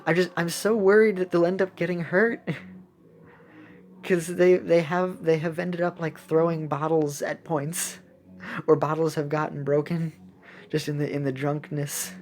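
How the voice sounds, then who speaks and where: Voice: mid-range voice, level tone, a little slow, darkish tone. A young adult giving casual, playful gameplay commentary in a rambling, chatty way. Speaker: gaming video host. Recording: clearly audible background noise.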